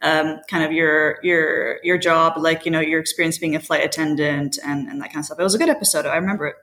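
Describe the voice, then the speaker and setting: A woman in her twenties raised in the Emirates, talking casually as a guest on a podcast. Her voice sits a bit low, and she laughs easily between sentences. Her English sounds Western. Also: fairly even intonation, quick pace, low-quality recording. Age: 20s. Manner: laughs often, warm banter. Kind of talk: casual chat.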